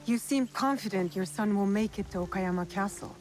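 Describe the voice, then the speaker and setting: A woman's voice: mid-range voice, fairly quick, a little mellow, lightly acted. A woman playing a young, defiant and determined warrior of feudal Japan in a video game, blunt and challenging. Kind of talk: cinematic video game acting. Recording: faint music behind the voice. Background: faint background music.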